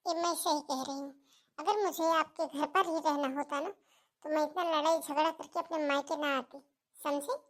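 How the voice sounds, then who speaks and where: A woman's voice: sits high, steady tone, moderate pace, lightly acted. A woman voicing a stubborn, complaining young married daughter in a cartoon family drama, with an aggrieved manner. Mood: defiant.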